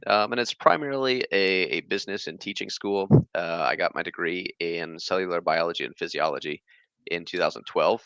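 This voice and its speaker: Rambling male guest, 30s, very deep but bright tone, dry, slightly dull mic. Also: a little fast, gravelly, no echo. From born in Germany, raised across the US. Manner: rambling, many fillers and restarts. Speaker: guest, fish channel creator. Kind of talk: casual interview chat.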